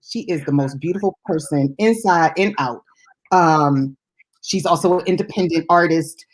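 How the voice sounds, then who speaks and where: Low-pitched woman chatting about music. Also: moderate pace, breathy edge. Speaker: radio show host and music DJ. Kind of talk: casual music chat.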